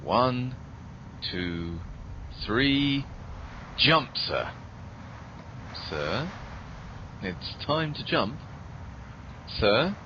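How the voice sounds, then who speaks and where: A man playing a formal, deferential, deadpan butler with a shady streak in a scripted comedy podcast. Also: drawn-out words, pauses often, exaggerated voice. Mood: polite, firm. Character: deadpan, dutiful, quietly shady male butler. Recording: muffled, noisy low-quality podcast audio.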